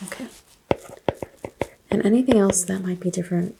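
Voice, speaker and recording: A soft-spoken woman doing an ASMR doctor roleplay, asking unhurried exam questions and slipping into whispers. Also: medium pitch, vocal fry, a little hiss or hum, low-quality recording.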